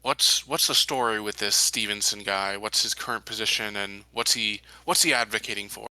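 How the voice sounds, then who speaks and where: A man's voice: on the low side, moderate pace, pushed and bright, dry recording, poor audio. A man playing a tabletop roleplaying game, casually talking through what his character says and asks.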